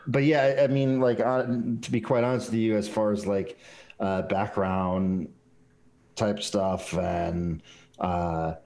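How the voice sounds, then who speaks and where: A young man with a fairly low voice, talking casually about his game character. He hesitates often, full of fillers and restarts. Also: steady tone, takes it slowly, darkish tone. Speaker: player.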